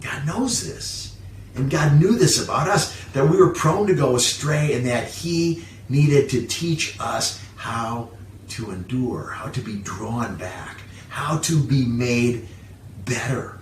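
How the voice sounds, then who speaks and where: A man's voice: medium pitch, slow. A man preaching a sermon as a pastor, phrasing his points emphatically and moving between scripture and plain explanation. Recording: roomy, distant mic, background hiss.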